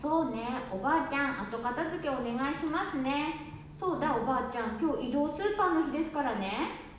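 A woman's voice: high-pitched, lively intonation, brisk. A woman playing a cheerful, considerate daughter-in-law in an amateur radio drama. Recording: very echoey room, distant mic, faint noise.

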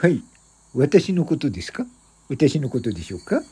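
Comic skit: tipsy, flustered man, played by a man, husky and animated, rambling, excitable, over-polite. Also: mid-range voice, normal speed. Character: tipsy, flustered, cheerful man stopped by police. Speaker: show host.

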